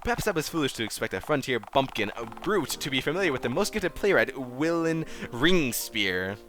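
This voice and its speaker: A man's voice: medium pitch, very animated, fast talker, a bit bright. A man voicing a pompous, grandiloquent mustachioed villain in a game, florid and theatrical in his speech. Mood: condescending. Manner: florid, theatrical, archaic wording.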